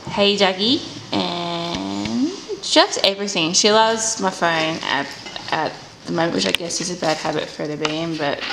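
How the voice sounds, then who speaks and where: A woman's voice: medium pitch, roomy sound. A young Australian woman in her twenties chatting casually to camera at home, hesitant and stop-start with a slight stutter. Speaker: vlogger. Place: inside a house. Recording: clear background noise on home camera audio.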